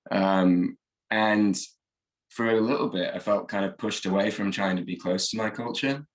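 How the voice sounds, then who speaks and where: A man in his thirties with a slightly hoarse voice, reflecting at an easy pace in a casual group discussion, with many fillers. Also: fairly low pitch, moderate pace. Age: 30s. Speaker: discussion participant.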